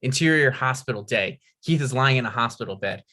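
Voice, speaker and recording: A man with a bright, forward voice hosts a comedy table read. He narrates screen directions and talks informally to introduce the material. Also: mid-range voice, expressive, fairly quick. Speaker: table read host, stage-direction reader and performer.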